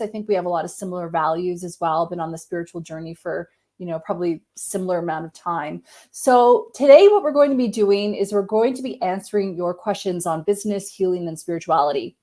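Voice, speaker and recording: A woman hosting a live-streamed chat, a little husky from a cold, talking in an upbeat, chatty way. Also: mid-range voice, darkish tone. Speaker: host.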